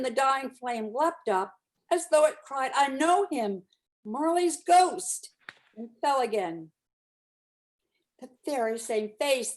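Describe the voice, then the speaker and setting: A woman reading classic literature aloud as narrator, with expressive, deliberate dramatic storytelling. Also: high voice. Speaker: narrator, community reader.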